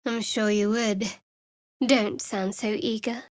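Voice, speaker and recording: A woman playing a guarded, sardonic young cleric of a dark goddess in a video game, speaking in a dry, wry manner. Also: medium pitch, fairly even intonation, takes it slowly, no room sound, natural voice. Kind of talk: video game character voice lines. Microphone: close studio microphone.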